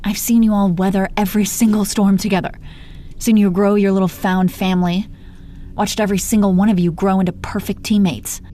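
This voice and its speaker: A woman's voice: mid-range voice, flat delivery, a little fast, dark tone, natural voice. A woman playing a teasing, protective female supervillain in her thirties in an intimate roleplay audio drama, foul-mouthed but coaxing, full of pet names. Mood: firm, knowing. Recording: noticeable background noise.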